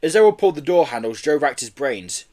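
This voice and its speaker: A man narrating a children's book as an audiobook reader, in an expressive storytelling style. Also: a little high, quick pace.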